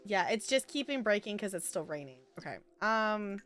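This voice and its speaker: A woman's voice: medium pitch, animated, normal speed, a bit bright, faint music behind. A woman streaming a life simulation game with casual, rambling commentary, chatting to viewers and to the game's characters.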